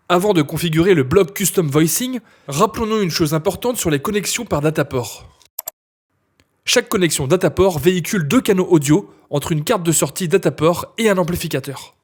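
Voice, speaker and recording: Male instructor, slightly high husky voice, scripted step-by-step software tutorial read.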